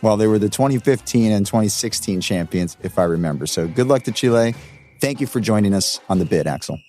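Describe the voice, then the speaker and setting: A man's voice: on the low side, expressive, a little fast, mellow, soft background music. A man hosting a podcast interview, asking guiding questions in a conversational way. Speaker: podcast host. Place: studio.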